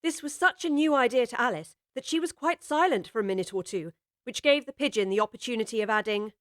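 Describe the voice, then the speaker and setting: A woman narrates an audiobook story with measured, expressive delivery. Her voice sits a little high, and the recording is dry and free of room sound. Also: no room sound.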